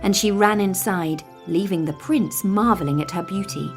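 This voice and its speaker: A woman storyteller narrating a scripted fairytale for young children with deliberate, dramatic phrasing. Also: mid-range voice. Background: music.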